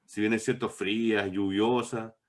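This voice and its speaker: A middle-aged man talks reflectively in an interview, in a discursive, halting way with repeated words. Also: on the low side, level tone. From born in Bolivia, moved to Chile as a child. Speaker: interviewed novelist.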